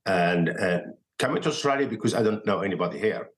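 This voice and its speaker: Deep-voiced Moroccan man, halting English. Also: lively intonation. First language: Arabic. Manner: halting non-native English, many fillers and restarts. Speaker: guest chef. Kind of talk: casual podcast interview.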